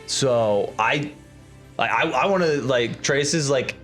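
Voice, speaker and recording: A man playing a tabletop role-playing game, casually bantering and narrating his character in halting, repeated phrases. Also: medium pitch, a bit bright, faint music behind. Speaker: tabletop RPG player.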